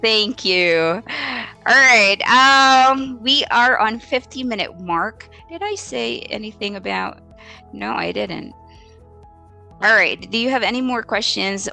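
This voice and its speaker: A woman's voice: on the high side, lively intonation, slow pace, vocal fry, pushed and bright, faint music behind. A woman with a Filipino accent giving informal live teaching advice, thinking aloud and searching for words as she explains with spoken examples. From Philippines. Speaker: English teacher hosting a live Q&A.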